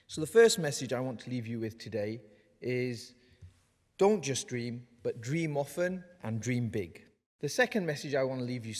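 A man from North West England giving an earnest, measured graduation speech at a lectern. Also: mid-range voice, darkish tone. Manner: earnest, measured, motivational delivery. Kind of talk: prepared ceremonial speech. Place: large wood-panelled ceremony hall. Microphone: lectern microphones. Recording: long hall reverb.

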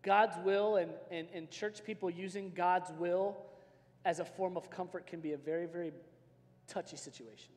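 High-pitched American male preacher in a hall. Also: fairly even intonation, normal speed. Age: middle-aged. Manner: emphatic, dramatic swings from hushed to shouting. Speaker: pastor preaching. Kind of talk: impassioned sermon with personal storytelling. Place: large church stage indoors. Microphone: worn microphone, hands free. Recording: reverberant large hall sound system.

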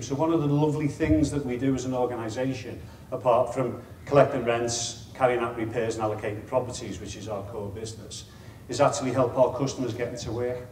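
A man's voice: medium pitch, darkish tone, reverberant, far from the mic, a little hiss or hum. A middle-aged man with a Liverpool accent gives an informal conference talk as a chief executive, chatty and wry, with self-deprecating asides. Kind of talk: informal presentation to an audience. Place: meeting room with an audience. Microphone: lapel mic.

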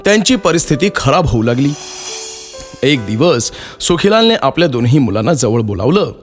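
A man's voice: mid-range voice, big pitch swings, normal speed, soft background music. A man narrating a scripted moral story for children.

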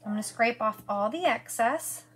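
Bubbly high-voiced woman chatting live. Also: normal speed, fairly bright tone, slight background noise. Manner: chatty, greets viewers by name, gushing. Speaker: craft seller hosting a live demo. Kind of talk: live craft demonstration chat. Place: craft studio indoors.

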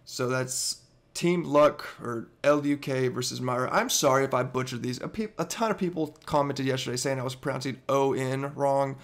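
A hoarse young man in his twenties, with his voice nearly gone, giving excitable, swear-filled reaction commentary from a headset in his bedroom. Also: medium pitch, normal speed. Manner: excitable, swears often, stammers and laughs. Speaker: YouTuber reacting to a gaming montage. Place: bedroom indoors. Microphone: over-ear headset.

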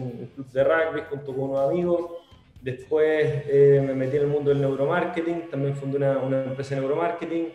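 A man's voice: a little high, mellow. A young man from Venezuela with a Chilean Spanish accent, chatting casually as an interview guest over a video call, full of restarts and slang. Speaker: guest, startup founder. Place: home room on a video call. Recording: very echoey room, faint music.

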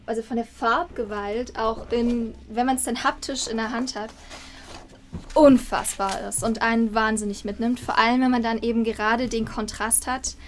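A young woman co-hosting an interview on a video call, commenting in a conversational way. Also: on the high side. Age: young adult. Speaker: interview co-host, lecturer. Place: book-lined room indoors. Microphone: video call, shared microphone. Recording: faint background noise.

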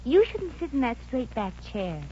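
A woman's voice: high-pitched, big pitch swings, normal speed, dark tone. An actress playing a chatty, pesky, mock-innocent working-class American woman in a 1950s radio comedy, with slangy talk. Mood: breezy, pesky. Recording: muffled, noisy old radio recording.

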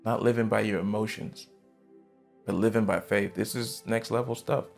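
A man in his thirties, a pastor preaching a sermon from a church stage, fervent and emphatic, jumping from loud bursts to quiet asides. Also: fairly low pitch, steady tone, moderate pace, gravelly, faint music behind. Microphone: headset mic.

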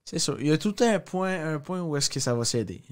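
A man's voice: on the high side, expressive, fast talker. A young man with a Quebec French accent in a casual discussion, repeating himself for emphasis. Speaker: panelist. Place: small radio studio.

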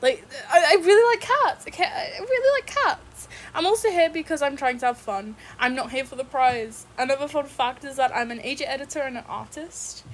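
Young Polish woman, high voice, playful and emphatic, faint noise. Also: brisk, few pauses. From Poland. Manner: playful, repeats herself with emphasis. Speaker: contestant. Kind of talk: casual self-introduction.

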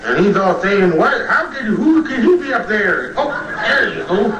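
An actor playing a jaded, boozy old children's storyteller on a TV comedy sketch, halting, slurred and muddled, often trailing off. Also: male voice, sits high, very animated, recorded from a distance, dull audio, crowd noise. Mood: boozy, indifferent. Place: TV studio living-room set. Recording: noisy, roomy old TV tape, off mic.

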